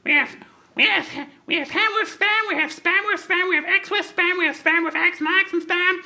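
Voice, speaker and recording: A man imitating a shrill sketch-comedy waitress, reciting a menu in a repetitive singsong. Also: normal speed, gravelly, pushed and bright, exaggerated voice. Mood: shrill, insistent.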